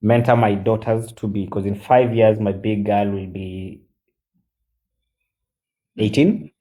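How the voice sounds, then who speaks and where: A man in his forties, chatting on a live stream as a guest, halting with many fillers and switching into Swahili. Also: on the low side, fairly even intonation, mellow. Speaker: guest mentor. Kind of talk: casual live-stream chat.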